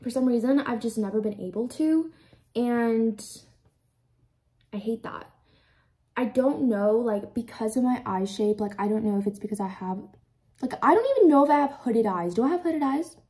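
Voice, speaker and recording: A woman's voice: a little high, expressive, normal speed, mellow. A young woman in her twenties from the United States, chatting casually while doing her makeup in a small room, rambling and filling her talk with "like". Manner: chatty, rambling, heavy use of "like". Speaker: beauty vlogger. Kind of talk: casual get-ready-with-me chat. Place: small indoor room with a plain backdrop.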